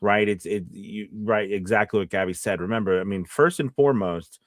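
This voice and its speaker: A man's voice: lowish voice, a little fast, dry recording, studio quality. A man hosting a casual live Q&A as a voice-over coach, talking in a hesitant, stop-and-start way.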